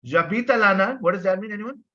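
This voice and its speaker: A male teacher with a fairly high voice leads an online French lesson. He explains things patiently, repeats himself and keeps asking the class to answer. The sound is dull and low-fidelity, like a video call. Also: quick pace, bright, forceful tone. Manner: patient, repeats himself, asks students questions. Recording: dull lo-fi audio.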